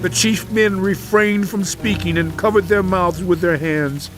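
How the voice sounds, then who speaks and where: An actor playing a suffering righteous man in a dramatized audio Bible, with solemn, impassioned, measured delivery. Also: sits high, a bit bright, faint music behind. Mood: proud, wistful.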